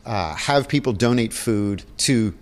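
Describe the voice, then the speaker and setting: Halting man in a reverberant space. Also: lowish voice, expressive. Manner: halting, thinking aloud with repeated words. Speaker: interview guest, theatre production organizer. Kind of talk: conversational interview answers.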